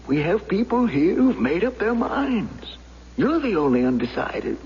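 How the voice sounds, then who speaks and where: An actor playing an impatient fellow juror in radio drama, speaking in a blunt, exasperated way. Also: male voice, high voice, sing-song, measured pace, darkish tone, slight background noise, exaggerated voice. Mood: impatient, pressing. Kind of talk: 1970s radio drama acting. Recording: dull old radio recording with music.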